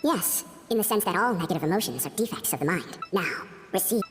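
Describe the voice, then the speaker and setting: Solemn mystic healer, cavernous reverb. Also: female voice, on the low side, animated, brisk, fairly bright tone. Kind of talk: video game voice acting. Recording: long echoing hall reverb, faint music.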